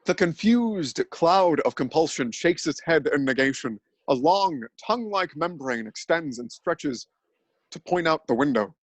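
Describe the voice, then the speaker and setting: A man narrates a scripted dramatic reading in an earnest, deadpan manner. His voice sits a little high for a man. The recording is close and dry, with no room echo. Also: a little slow. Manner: earnest, deadpan telling of absurd events.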